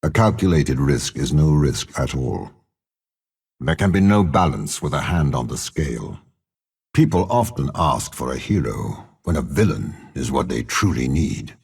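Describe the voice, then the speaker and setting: An actor playing a cold, scheming older warlord and spymaster in a video game, speaking with a gravelly, husky voice in a measured, haughty, menacing manner. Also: male voice, very deep voice, lively intonation, darkish tone, exaggerated voice. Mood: calm, scheming. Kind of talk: video game character voice lines.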